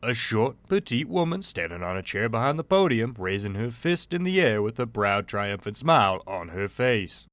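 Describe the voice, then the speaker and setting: A man's voice: on the low side, very animated, moderate pace, hardly any pauses, a bit bright, no room sound. A man reading scripted scene narration for an audio drama.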